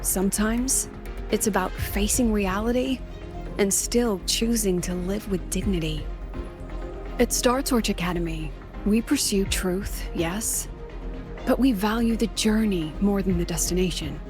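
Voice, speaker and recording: Woman acting a poised, measured scholar over music. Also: medium pitch, natural voice. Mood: solemn. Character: composed, thoughtful, shrewd female academy scholar. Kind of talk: video game dialogue acting. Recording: game music behind. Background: game music.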